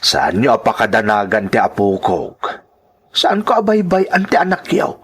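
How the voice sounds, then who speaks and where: An actor playing a protective, kindly older father and grandfather in an audio drama, speaking deliberately and emphatically. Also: fairly low pitch, wildly swooping pitch, husky, exaggerated voice. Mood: protective, fatherly. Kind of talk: Ilocano audio drama dialogue.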